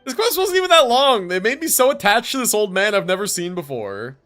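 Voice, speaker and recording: A man's voice: sits high, big pitch swings, a little fast, fairly bright tone. A man live-streaming a game, giving casual reactions in a slangy, sweary, offhand way. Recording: game music behind the voice.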